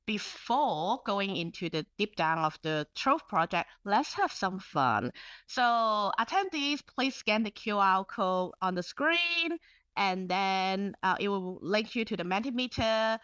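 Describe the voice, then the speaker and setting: Cantonese-native woman presenter, bright smooth voice, chatty and upbeat. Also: medium pitch, animated, slow pace, no echo. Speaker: webinar presenter. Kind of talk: presenting a library webinar.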